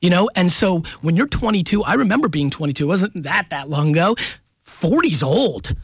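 A man in his forties speaking as a radio guest, emphatic and animated, keeping his points moving with quick "right?" tags. His voice sits a touch higher than most men's. It is recorded close on a studio mic with a very dry, dead sound. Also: breathy edge, dry recording. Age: 40s. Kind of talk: casual radio interview. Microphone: studio microphone up close.

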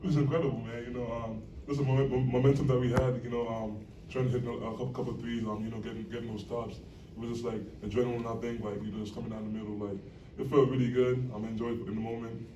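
A man's voice: medium pitch, fast, dull audio. A young man, a college basketball player, answering at a postgame press conference in an earnest, rambling way. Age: young adult. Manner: earnest, rambling with many fillers. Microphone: table mic, recorded from across the room. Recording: echoey room, distant mic, steady noise.

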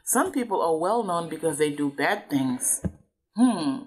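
Woman reading aloud, slightly low voice. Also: expressive, a little slow, a little mellow, breathy edge, rough recording. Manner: didactic, explains and checks understanding with questions. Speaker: parent reading aloud and teaching. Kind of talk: read-aloud with questions to a child.

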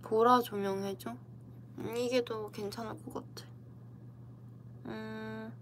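A woman's voice: on the high side, little pitch movement, slow, background noise. A young woman casually chatting with viewers on a livestream from indoors, playful and giggly, replying to comments. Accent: Korean dialect. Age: young adult. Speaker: idol streamer. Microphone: computer livestream mic.

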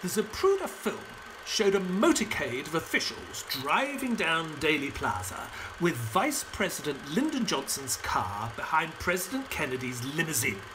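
A genial detective's sidekick and narrator in an audio drama. He tells the story earnestly with very lively intonation. Clear ambient noise sits behind the voice. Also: on the high side, normal speed, few pauses. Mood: storytelling. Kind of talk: audio play narration and dialogue.

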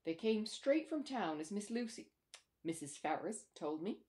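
A woman's voice: mid-range voice, very animated, moderate pace, mellow, natural voice. A woman voicing a talkative, respectful rustic manservant with uneducated country grammar in a storybook reading. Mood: earnest, deferential. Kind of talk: storybook character dialogue.

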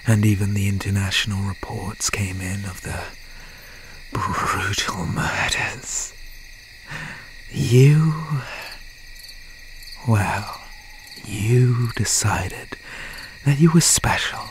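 An obsessed slasher killer, acted by a man for a horror ASMR audio drama. He talks slowly and softly, often slipping into a whisper, falsely friendly and full of menace. There is noise and ambience around the voice. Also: on the low side. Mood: gloating, menacing. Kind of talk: ASMR horror roleplay, 90s slasher pastiche.

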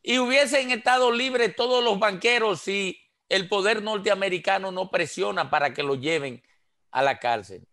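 A man's voice: high voice, moderate pace, sharp tone. A man in his sixties with a Dominican accent, giving impassioned political commentary over a headset on a video call, full of rhetorical questions. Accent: Dominican Spanish. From Dominican Republic. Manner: emphatic, rhetorical questions, gestures while talking. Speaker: political commentator on a radio panel. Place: small indoor room.

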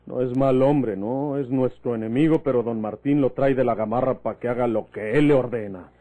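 An actor playing a plain-spoken, fatherly older country man with a rural Mexican accent in a vintage radio drama, telling things in a folksy, unhurried way. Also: mid-range voice, close to an everyday voice. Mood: firm, explaining. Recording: muffled phone-like old radio recording.

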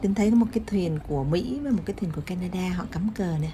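A woman's voice: on the low side, expressive, racing, mellow, soft background music. A woman vlogger chatting casually to her viewers as she walks a city harbor with her phone, dropping English words into her talk. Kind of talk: casual walking-tour narration. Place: outdoors by a city harbor, filming while walking.

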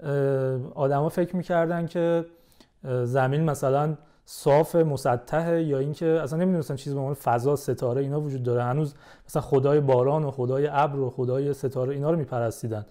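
A man's voice: medium pitch, steady tone, dark tone. A man speaking as a podcast guest, explaining things in casual conversation.

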